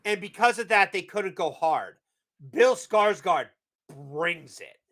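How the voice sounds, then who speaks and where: A man from New England co-hosting a casual movie review chat, rambling and halting with fillers and restarts. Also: high voice, expressive, a bit bright. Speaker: podcast co-host.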